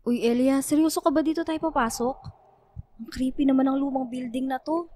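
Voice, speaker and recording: A woman's voice: high-pitched, steady tone, a little fast, mellow. A young woman playing a skeptical, easily spooked student in a Filipino radio drama, teasing and emphatic. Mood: teasing, uneasy.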